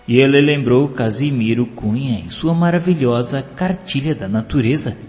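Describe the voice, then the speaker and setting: A man reading a scripted devotional narration and poetry in a solemn, declamatory manner with drawn-out phrases. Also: mid-range voice, darkish tone. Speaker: audiobook narrator. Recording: dull lo-fi audio with long hall reverb. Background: clear music.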